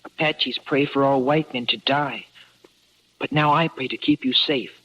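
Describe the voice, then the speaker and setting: A man's voice: medium pitch, fairly even intonation, measured pace, pushed and bright. A male actor playing an earnest, solemn Apache boy who speaks in simple, formal phrases in a radio drama. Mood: solemn, gentle. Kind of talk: 1950s radio drama acting. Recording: muffled old radio recording, music behind.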